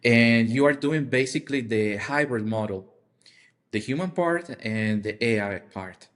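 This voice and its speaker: A man who is a non-native English speaker, discussing a topic casually on a podcast and haltingly searching for words. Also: medium pitch, fairly bright tone. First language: not English. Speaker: podcast co-host or guest.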